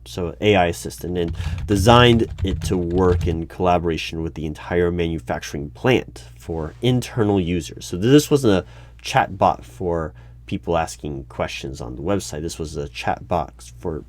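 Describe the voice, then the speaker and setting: A man playing an awkward, officious hiring manager in an ASMR interview roleplay, speaking formally with halting stammers and over-polite phrasing. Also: deep voice. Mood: puzzled, probing. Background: computer keyboard typing.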